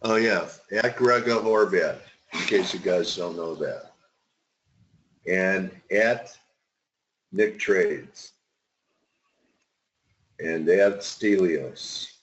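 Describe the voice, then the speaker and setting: Male webinar host, low register, chatty and complimentary, dull online-call sound. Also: extremely slow, long pauses, a bit bright. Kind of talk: casual market webinar chat. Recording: muffled, band-limited webinar audio.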